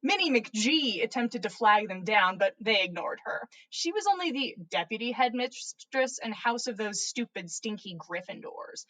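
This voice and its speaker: A woman's voice: fairly high pitch, a bit bright, poor audio. A woman reading fan fiction aloud as a podcast host, narrating with playful, theatrical emphasis. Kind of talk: dramatic story narration.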